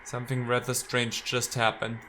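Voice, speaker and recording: A male let's player with a bright, forceful voice at an average pitch. He reads visual novel text aloud and drops in playful, wry asides. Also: completely flat delivery, normal speed, slight background noise, poor audio.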